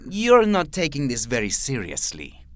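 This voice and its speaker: A woman's voice: rumbling low, huge pitch swings, moderate pace, no echo, heavily acted. A woman playing a courteous young German man with a German accent in an audio drama. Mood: playful. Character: lighthearted, courteous young German male mutant.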